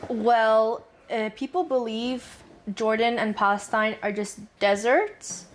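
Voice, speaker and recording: A woman's voice: on the high side, fairly even intonation, takes it slowly. A young woman from Dubai, a student guest answering interview questions in a frank, chatty way and laughing easily. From Dubai, UAE. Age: young adult.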